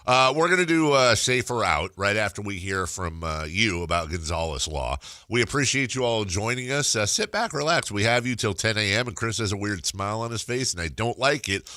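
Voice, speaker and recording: Nasal low-voiced joking radio host man. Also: expressive, a little fast, hardly any pauses, sharp tone, dry recording, studio quality. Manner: joking, self-mocking, laughs often. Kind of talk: casual morning radio banter. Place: radio studio. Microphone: studio microphone.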